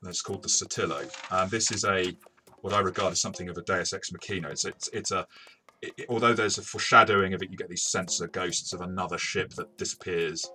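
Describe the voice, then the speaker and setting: Deep-voiced man, bright and stop-start. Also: fast talker. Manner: hesitant, stop-start delivery with repeated words. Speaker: game master and reviewer. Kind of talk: casual tabletop game review discussion.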